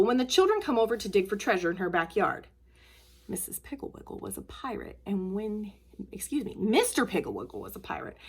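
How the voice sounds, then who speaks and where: A woman storyteller reading a children's book aloud in an expressive, emphatic way. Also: medium pitch, vocal fry, slightly rough.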